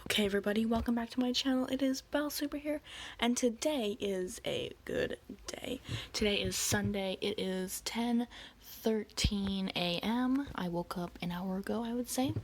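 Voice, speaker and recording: A teenage girl talking casually to her channel, husky as she loses her voice, rambling with many fillers and restarts. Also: mid-range voice, moderate pace. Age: teens. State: losing her voice. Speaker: vlogger. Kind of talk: casual chat to camera. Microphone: home microphone.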